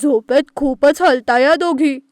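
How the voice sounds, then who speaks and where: A woman's voice: high-pitched, a little slow, a little mellow, dry recording. Woman playing an exasperated cartoon aunt, dry sound. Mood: scolding. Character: exasperated aunt minding two mischievous young nieces. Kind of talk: animated moral story dialogue.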